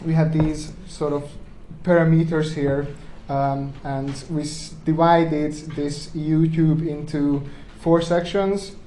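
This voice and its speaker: A man's voice: fairly high pitch, fairly even intonation, slow, hardly any pauses, mellow, a little hiss or hum. A young man, a university tutor, speaking hesitantly with many fillers and repeated yeahs as he chats and then explains a problem to his class. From Hungary. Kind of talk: casual chat, then tutorial teaching. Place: echoey classroom. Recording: roomy classroom with crowd chatter.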